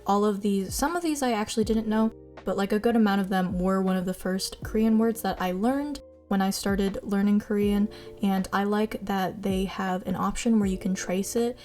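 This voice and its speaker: A young woman whose first language is Mandarin, chatting casually in a vlog voice-over about how she studies, with plenty of likes and ums. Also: medium pitch. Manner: chatty, self-deprecating, lots of likes and ums. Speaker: vlogger teaching her own study method. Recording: soft music behind.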